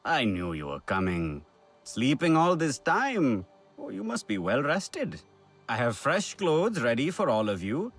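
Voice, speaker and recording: An actor playing a gruff, sardonic resistance supply keeper in a video game, blunt and teasing with a husky voice. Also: male voice, mid-range voice, big pitch swings, unhurried, soft background music. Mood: wry teasing. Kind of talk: video game cutscene acting.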